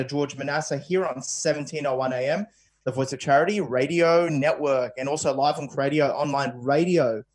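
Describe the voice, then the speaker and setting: A man's voice: a little high, darkish tone. Male radio host. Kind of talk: radio interview hosting.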